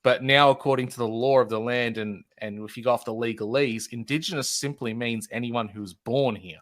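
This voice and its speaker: A man's voice: mid-range voice, fairly even intonation, brisk, a bit bright. A man, a podcast guest, talking through questions in a thoughtful, questioning way. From Australia. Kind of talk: casual discussion.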